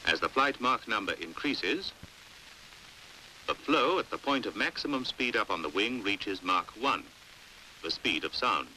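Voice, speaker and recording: Bright, crisp British male documentary narrator, hissy film. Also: lowish voice, expressive, low-quality recording. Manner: measured, precise, didactic. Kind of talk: scripted 1950s educational film narration. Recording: hissy 1950s film soundtrack. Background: faint hiss.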